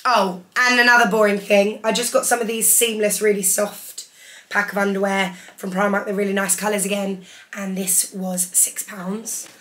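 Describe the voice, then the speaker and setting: A young woman in her twenties, hoarse from losing her voice, chatting enthusiastically through a clothing haul in her living room. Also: mid-range voice, little pitch movement, normal speed, bright, forceful tone. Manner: chatty, enthusiastic, holds up each item. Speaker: fashion vlogger. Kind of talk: casual haul vlog. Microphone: camera in front, seated.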